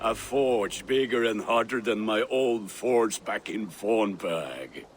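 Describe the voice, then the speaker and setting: Hearty Viking smith, proud, low-pitched, very unhurried, boastful game character, light music behind. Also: male voice. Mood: delighted, boastful. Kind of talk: video game cutscene acting.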